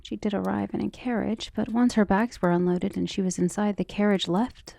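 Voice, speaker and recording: A woman playing a devoted, outspoken servant of a noble household in an ASMR roleplay, speaking gently and deferentially in formal phrasing, often slipping into a whisper. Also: medium pitch, steady tone, a little fast, vocal fry, dry recording. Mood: polite, hesitant. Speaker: voice actor in an ASMR roleplay audio drama. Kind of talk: soft-spoken ASMR roleplay acting, often dropping to a whisper.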